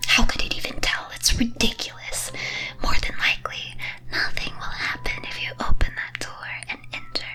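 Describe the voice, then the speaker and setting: A woman whispers a scripted ASMR monologue in a breathy, teasing, theatrical and deliberate way. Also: rough recording. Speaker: streamer performing as a character.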